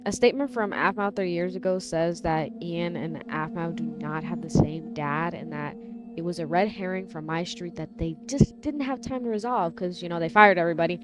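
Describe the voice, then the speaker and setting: Low-pitched woman doing casual commentary over music. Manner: informal, opinionated. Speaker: YouTube commentator. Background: music behind the voice.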